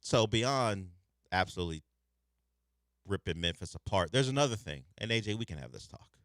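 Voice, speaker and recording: Low-voiced man for sports talk radio: crisp, bright, dry studio sound, relaxed measured chat. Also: no echo. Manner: conversational, measured, thinking aloud. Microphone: studio microphone.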